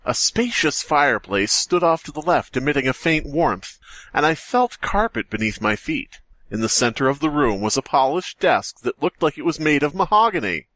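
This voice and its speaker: A man's voice: on the high side, sing-song, moderate pace, fairly bright tone, no room sound. A man co-hosting a podcast, reading horror fiction aloud and breaking off to joke. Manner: jokey, breaks off reading to riff. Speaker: podcast co-host.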